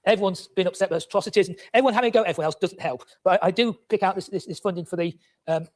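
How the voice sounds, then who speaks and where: An earnest man councillor addresses a council meeting and stumbles over fillers as he goes. His voice is quite high for a man, and he talks at great speed. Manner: earnest, halting with many fillers. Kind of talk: formal speech in a council meeting.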